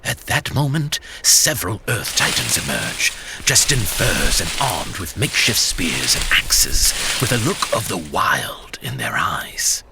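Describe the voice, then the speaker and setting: A man's voice: low voice, very animated, measured pace, very noisy, heavily acted. A man playing a grave storyteller who narrates an epic fantasy audio drama. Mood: grave, dramatic.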